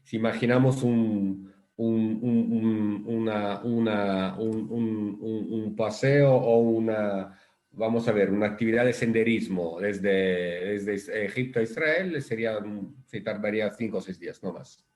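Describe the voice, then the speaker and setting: A man's voice: fairly low pitch, a little slow, a little mellow. A man from Italy with an Italian accent, a rabbi giving a thoughtful explanatory talk with many restarts over a video call. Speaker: rabbi, guest speaker.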